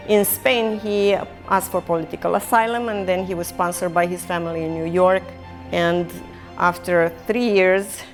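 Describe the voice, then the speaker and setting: Reflective woman. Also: fairly low pitch. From Romania. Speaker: artist and gallery owner. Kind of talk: personal storytelling in a documentary interview.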